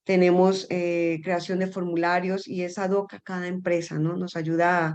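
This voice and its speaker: Low-voiced woman, hesitant talker. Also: little pitch movement, normal speed, darkish tone, highs cut off. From Colombia. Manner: hesitant, searching for words, repeats words. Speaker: interview guest, energy company founder. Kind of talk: casual interview talk.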